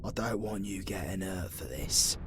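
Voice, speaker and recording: A man with a British accent playing a gruff, loyal young demon warrior, wounded and protective, with rough colloquial speech, in a fantasy audio drama. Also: sits low, moderate pace, background noise, natural voice. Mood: hushed, tender. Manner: rough colloquial speech, calls listener dearie. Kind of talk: fantasy audio roleplay acting, intimate ASMR.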